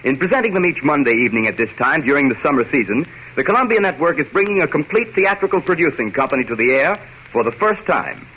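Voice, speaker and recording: A man's voice: a little high, very animated, fast, bright, forceful tone. A man announcing formally on 1930s network radio, polished and declamatory. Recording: dull, noisy vintage radio recording.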